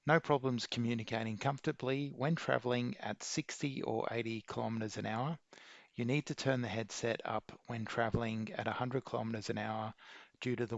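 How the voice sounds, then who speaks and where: A man reading a scripted how-to narration in a measured, methodical way. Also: mid-range voice, no echo, highs cut off. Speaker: video maker giving an installation tutorial.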